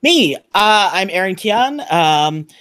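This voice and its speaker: A man's voice: high-pitched, big pitch swings, slow pace, pushed and bright, clear, resonant tone, no room sound, clean studio sound. A man talking casually and explaining things as a panelist on a remote video call. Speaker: podcast panelist.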